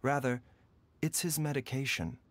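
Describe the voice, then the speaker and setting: An actor playing a courteous, scholarly doctor in a video game, speaking in a formal, measured, clinical manner. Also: male voice, mid-range voice, steady tone, a bit bright. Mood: measured concern. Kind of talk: scripted video game dialogue.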